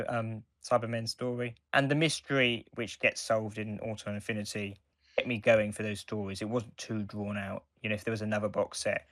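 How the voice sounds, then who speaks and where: A man co-hosting a podcast, giving reviews in a casual, halting chat with sentences that circle back. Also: fairly low pitch, fairly bright tone, no echo.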